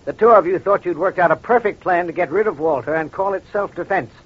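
An actor playing a shrewd, self-assured crime doctor in an old radio detective drama, speaking in a deliberate, accusatory way. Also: male voice, high voice, slightly rough. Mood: accusing. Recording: dull, muffled old radio recording.

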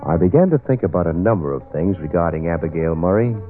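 An actor playing a hard-boiled, world-weary private detective in a vintage radio drama, sardonic and clipped. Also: male voice, deep voice, lively intonation, fast. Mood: brooding, narrating. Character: wry hard-boiled male private detective, narrating his case. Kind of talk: 1940s-50s radio detective drama acting and narration. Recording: tinny old radio recording, music underneath.

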